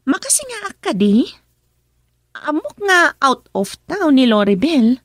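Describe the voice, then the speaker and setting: A woman's voice: sits high, big pitch swings, unhurried, dry recording, clean studio sound. An actress playing an upset, jealous young married woman in an Ilocano radio drama, with an Ilocano accent and an emotional, exasperated delivery. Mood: chatty.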